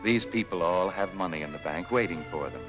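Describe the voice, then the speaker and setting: An actor playing a sinister, all-knowing narrator of dark tales in a 1940s film noir, speaking in a measured, ironic manner. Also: male voice, deep voice, muffled recording, lightly acted. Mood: sardonic. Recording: vintage 1940s film soundtrack. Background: music.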